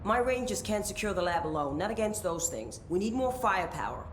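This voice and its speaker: An actress playing a middle-aged operations chief, brisk, commanding and unflappable. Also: female voice, mid-range voice, sing-song, echoey room, a little hiss or hum, lightly acted. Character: tough no-nonsense female operations chief. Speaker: head of operations.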